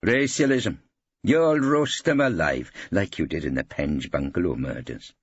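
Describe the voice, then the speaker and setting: A man's voice: low voice, lively intonation, measured pace, breathy edge, no echo, muffled recording, full character voice. A man voicing an earnest, zealous Welsh solicitor in an audiobook, preachy and optimistic. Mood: zealous, proud. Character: earnest, eager, indignant Welsh male solicitor.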